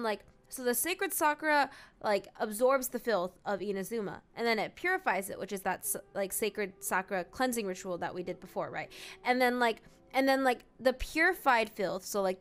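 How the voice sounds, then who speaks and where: A woman's voice: on the high side, fairly bright tone, smooth. A woman streaming a game, giving casual reaction commentary and thinking aloud in an informal, rambling way.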